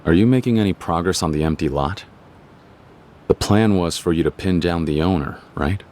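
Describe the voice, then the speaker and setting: A man's voice: sits low, normal speed, darkish tone, natural voice. An actor playing a stoic, terse tough man in a video game dub, with an American accent. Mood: calm, weary. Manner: terse, restrained. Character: stoic, plain-spoken tough man in hiding.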